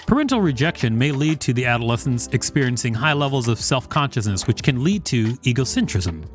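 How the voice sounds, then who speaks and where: A man's voice: mid-range voice, very animated, a little fast, faint music behind. A man co-hosting a podcast, reading an encyclopedia article aloud with informal, joking banter. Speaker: podcast co-host.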